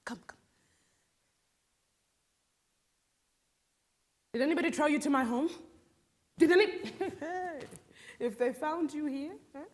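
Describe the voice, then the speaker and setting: A woman playing a proud, bitter Nigerian village woman accused of witchcraft in a theatrical stage monologue, with a Nigerian accent, taunting delivery and loaded pauses. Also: high-pitched, pitched above the performer's own voice, close to an everyday voice. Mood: wary, hushed. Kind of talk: solo dramatic stage monologue.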